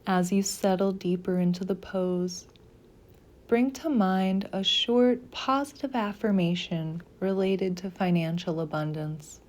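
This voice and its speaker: A woman leading a guided meditation as a scripted practice, speaking softly and gently in deliberate phrases with pauses. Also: mid-range voice, fairly even intonation.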